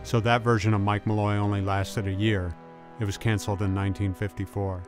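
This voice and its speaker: A man's voice: fairly low pitch, flat delivery, fairly quick. A man reading scripted documentary narration in informal, conversational wording. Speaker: narrator and presenter. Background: guitar music.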